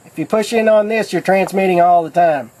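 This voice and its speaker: A man's voice: high voice, brisk, darkish tone, rough recording. A man giving a casual how-to demonstration in a folksy, plain-spoken way, like a product demonstrator explaining things step by step.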